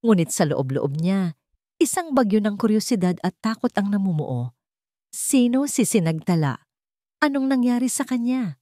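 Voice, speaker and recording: A woman's voice: mid-range voice, big pitch swings, normal speed, warm tone, no room sound, clean studio sound. A woman with a Filipino accent narrating a scripted dramatic story.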